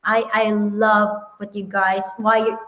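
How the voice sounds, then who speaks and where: A woman in her thirties with a Filipino accent, telling her founder story in an animated, upbeat way with frequent ums and laughs, on a video call. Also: medium pitch, slow, roomy sound, highs cut off. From Philippines. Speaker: guest, company founder. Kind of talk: casual interview chat. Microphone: webinar video call.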